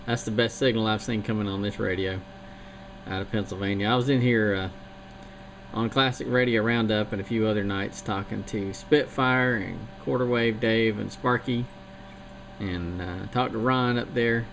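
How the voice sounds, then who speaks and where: A man's voice: on the low side, fairly even intonation, moderate pace, poor audio. A man from the South Carolina coast chatting on a CB radio, talking about his gear in a matter-of-fact, technical way. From Charleston, South Carolina area. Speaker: CB radio operator. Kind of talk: CB radio chat. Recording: radio link with loud static.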